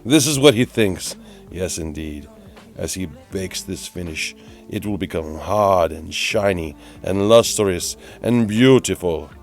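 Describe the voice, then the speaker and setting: A man's voice: low-pitched, expressive, faint music behind. A man playing a pompous, easily exasperated narrator who mocks a painter in a theatrical, mock-dramatic DIY voice-over. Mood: solemn, melodramatic. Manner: theatrical, pompous, drawn-out repetition.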